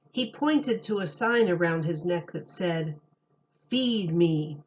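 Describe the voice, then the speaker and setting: A woman reading a children's storybook aloud like a teacher, in an expressive, deliberate storytelling manner. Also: on the low side, dull audio.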